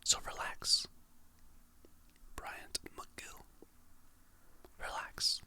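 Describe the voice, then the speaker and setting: A content creator softly whispering motivational quotes and affirmations in a slow, soothing ASMR style. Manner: soft whisper, slow and soothing, reads quotes then names the author.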